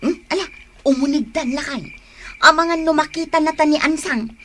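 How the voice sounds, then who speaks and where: An actress playing an envious, competitive housewife in a radio comedy drama, indignant and catty. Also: female voice, high voice, normal speed, a bit bright, a little hiss or hum. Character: envious, competitive housewife scorning her show-off neighbour.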